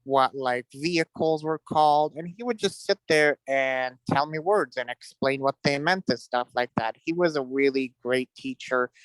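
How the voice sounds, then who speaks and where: Clear-voiced man chatting, closely recorded. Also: medium pitch, moderate pace, few pauses, a bit bright, no echo, low-quality recording. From Middle East (Iraq). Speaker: interview guest. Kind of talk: casual personal storytelling.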